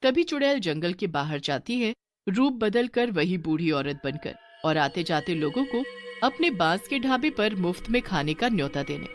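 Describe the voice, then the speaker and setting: Animated woman narrating a scripted story. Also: lowish voice, normal speed, faint music behind.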